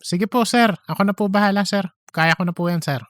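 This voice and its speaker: A woman's voice: mid-range voice, brisk, no room sound, clean studio sound, lightly acted. A woman playing a nervous, deferential male office worker, easily irritated, in an audio story. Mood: nervous, eager. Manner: deferential, peppering replies with honorifics.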